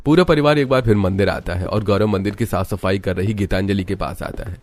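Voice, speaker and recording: A man's voice: on the low side, fairly quick, darkish tone. A man reading scripted narration for a story. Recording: music under the voice. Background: background music.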